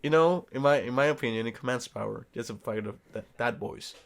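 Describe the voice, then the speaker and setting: A man's voice: medium pitch, a little fast, creaky. A man co-hosting a film review podcast in casual conversation, repeating phrases for emphasis and swearing offhandedly. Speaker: podcast co-host reviewing films. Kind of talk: casual chat.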